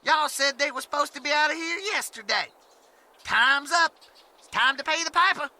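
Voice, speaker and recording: A man's voice: extremely high pitch, normal speed, sharp tone, heavily acted. A man playing a whiny, eager villain's sidekick in a comedic western audio drama, goading with a Southern US accent.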